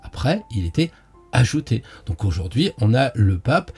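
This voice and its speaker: A man's voice: mid-range voice, sing-song, moderate pace, a little mellow, husky, faint music behind. A man hosting a tarot channel, explaining in a casual, playful way and talking straight to the viewer, with frequent hesitations. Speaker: tarot teacher and channel host.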